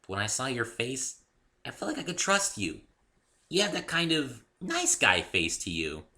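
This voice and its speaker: A man voicing a bubbly, excitable young female cartoon pony with a perky, exclamatory delivery in a story reading. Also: mid-range voice, normal speed, voice pushed up, heavily acted. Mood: soft, sincere.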